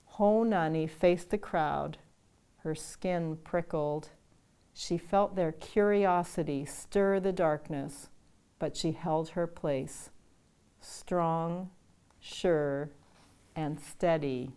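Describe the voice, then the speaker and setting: Older woman reading a kids' picture book, slightly low voice, takes it really slow. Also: warm tone, clean tone. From Canada. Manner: slow, measured reading with chanted lines. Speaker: storytime reader.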